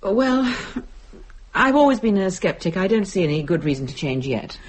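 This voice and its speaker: A woman's voice: mid-range voice, big pitch swings, moderate pace. An actress playing a composed, sceptical and inquisitive woman in a radio drama, cool and matter-of-fact. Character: composed, sceptical, inquisitive female dinner guest. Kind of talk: dramatic radio play acting.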